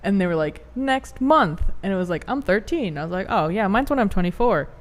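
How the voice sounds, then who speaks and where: A woman's voice: medium pitch, animated, fairly quick. A young woman in her early twenties co-hosting a podcast, talking casually with lots of "like" and incredulous swearing. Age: young adult. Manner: incredulous, swears, heavy use of "like". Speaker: podcast co-host. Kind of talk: casual chat.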